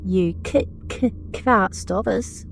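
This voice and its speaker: Woman playing a slow, taunting teenage mean girl. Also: mid-range voice, faint music behind, poor audio. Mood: mocking. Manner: taunting, mimicking a stutter. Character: cruel, mocking popular teenage mean girl. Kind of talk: audio drama acting.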